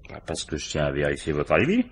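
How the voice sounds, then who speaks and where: An actor playing a shrewd, world-weary police commissioner in a French radio crime drama, with a dry, probing, ironic manner. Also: male voice, rumbling low, wildly swooping pitch, quick pace, slightly rough, exaggerated voice.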